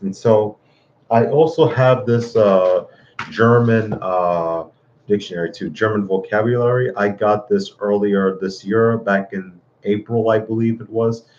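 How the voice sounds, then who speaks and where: A man hosting a casual live stream, talking in a halting way with many fillers and restarts while showing books. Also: fairly low pitch, fairly even intonation, a little mellow, dull audio, a little hiss or hum.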